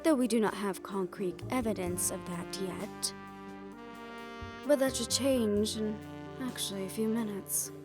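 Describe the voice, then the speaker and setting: A woman's voice: medium pitch, unhurried, music in the background, lightly acted. A woman playing a poised, worldly hotel manager in an assassin underworld audio roleplay, speaking in a composed, gracious and knowing manner. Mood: businesslike, knowing. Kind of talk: roleplay audio drama acting.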